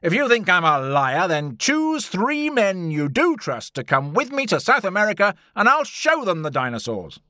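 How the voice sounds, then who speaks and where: A man's voice: a little high, sing-song, moderate pace, a bit bright, no echo, studio quality, pitched above the performer's own voice. A man voicing a hot-tempered, scornful scientist and adventurer in an audiobook story, speaking emphatically and indignantly. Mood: angry, challenging.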